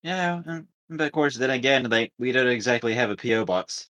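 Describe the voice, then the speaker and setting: A man with a bright, forward voice at a middling pitch co-hosts a podcast. He chats casually and keeps his replies short and low-key. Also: expressive, a little fast. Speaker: podcast co-host. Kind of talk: casual chat.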